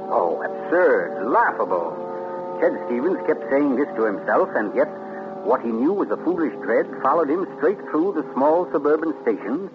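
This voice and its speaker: A man narrating a 1940s radio drama in a measured, suspenseful way. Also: fairly high pitch, sing-song, over music. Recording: muffled noisy 1940s radio broadcast.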